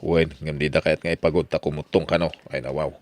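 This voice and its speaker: An actor playing an exasperated, grumbling farmer in a comic radio drama, speaking with an Ilocano accent. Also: male voice, very deep voice, level tone, fast talker. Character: exasperated male farmer pleading with stubborn neighbours.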